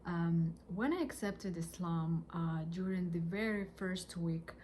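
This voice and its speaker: A woman speaks in a lowish voice, telling her own faith story earnestly and gently. She pauses often and fills the gaps with soft "um"s and "uh"s. A faint hiss sits under her words. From Russia. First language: Russian. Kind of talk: personal testimony.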